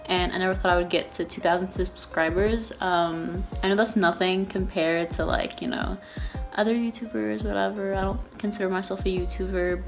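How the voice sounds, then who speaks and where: A woman's voice: on the low side, gravelly. A nineteen-year-old woman from Texas chatting casually in a Q&A vlog, rambling with lots of fillers and restarts. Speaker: YouTuber.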